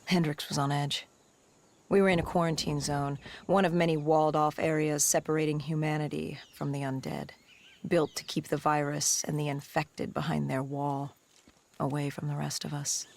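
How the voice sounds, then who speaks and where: A woman playing a haunted, cybernetically enhanced soldier who gravely recounts humanity's fall in a video game. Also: low-pitched, a little hiss or hum. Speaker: protagonist narrator. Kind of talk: cinematic video game narration. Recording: music behind the voice.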